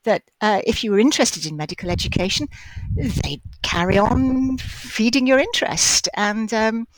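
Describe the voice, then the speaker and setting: A woman's voice: a little high, moderate pace, slight background noise, poor audio. An older woman from Wales, a guest in a podcast interview, chatty and self-deprecating, often stammering and restarting her phrases. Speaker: guest, medical educator.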